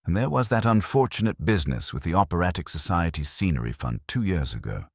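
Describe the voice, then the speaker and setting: A man reads as a prim, gossipy townswoman in a mystery story, with a very low voice. The delivery is confiding and insinuating, rising and falling a good deal. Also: very animated, a little fast, creaky, no echo, clean studio sound, exaggerated voice.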